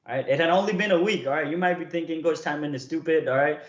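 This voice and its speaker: A young man from Nepal chats with his livestream audience in a relaxed, storytelling way. He keeps checking in with them as he talks. His voice sits a little high, and the sound is roomy and slightly dull. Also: fast. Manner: chatty, keeps checking in with "okay?" and "right?". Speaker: streamer coaching viewers.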